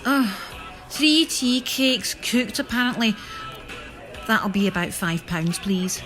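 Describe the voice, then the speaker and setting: Woman playing a slow, sullen young café waitress. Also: a little high, expressive, a bit bright, faint music behind. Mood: bored, surly. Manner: offhand, sullen. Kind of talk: radio play comedy acting.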